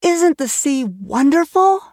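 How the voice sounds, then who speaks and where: A woman's voice: high-pitched, sing-song, slow pace, a little mellow, dry recording, studio quality, pitched above the performer's own voice, full character voice. A woman voicing an eager, chatty orphan girl who gushes in a breathless, wide-eyed way. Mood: rapturous.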